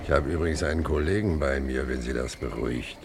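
An actor playing a sly, menacing gangster in a 1950s German radio play, mock-polite and taunting. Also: male voice, extremely low pitch, fast, vocal fry. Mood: mock-polite menace. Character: sly, menacing male gangster in a slouch hat. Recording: very noisy, crackly 1950s radio recording.

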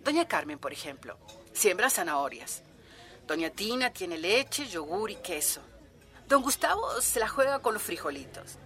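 An actress in a radio drama playing an earnest, proud village farmer who speaks out as an activist in an interview. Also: female voice, fairly low pitch, sing-song, moderate pace, bright, forceful tone, full character voice. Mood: good-humoured, proud.

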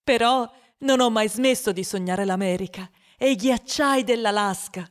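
A woman's voice: medium pitch, moderate pace, natural voice. An actress playing a wistful, dreamy, overweight young boy who confides his story in a radio drama monologue. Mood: sad, longing.

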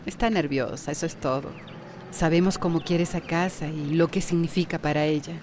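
A woman playing a patient young wife and gentle peacemaker with a Costa Rican accent, soft-spoken and hesitant, in a radio drama. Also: low voice, steady tone, brisk, background noise.

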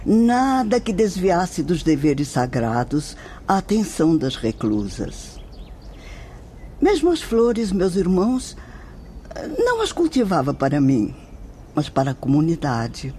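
Slow, mellow, kindly old-world nun spirit. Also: female voice, lowish voice, huge pitch swings, a little hiss or hum, heavily acted. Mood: nostalgic, tender. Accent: Brazilian. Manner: slow, gentle, maternal, deliberate. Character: kindly, serene spirit nun of indefinable age, gently devout. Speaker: actor in a radio drama. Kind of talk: Brazilian radio soap acting.

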